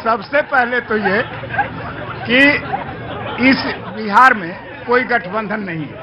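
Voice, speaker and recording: A man making an earnest, formal, halting complaint in a muffled, noisy recording. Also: sits high, a crowd in the background. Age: 70s. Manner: formal, halting, repeats himself. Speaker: elderly member of the public.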